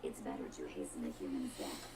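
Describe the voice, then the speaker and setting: A woman's voice: fast talker, background noise. An actress voicing a condescending female robot in a video game, with precise, formal, disdainful diction and a robotic filter. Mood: coldly clinical. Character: haughty, condescending female robot with cold contempt for humans. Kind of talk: video game character dialogue.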